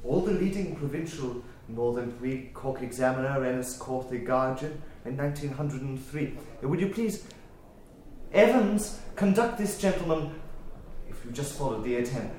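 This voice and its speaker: An actor playing a fussy, over-eager, courteous Quaker librarian in a literary audio drama, hesitant and repeating himself. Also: male voice, mid-range voice, normal speed, darkish tone, reverberant, a little hiss or hum, close to an everyday voice. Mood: eagerly dutiful.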